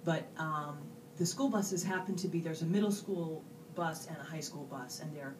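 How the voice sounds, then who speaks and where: A woman's voice: deep voice, normal speed, distant mic, noisy background. A woman author telling a rambling, jokey story to an audience at a bookstore event, breaking off and restarting as she goes. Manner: rambling, self-interrupting, jokey. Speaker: author on a panel.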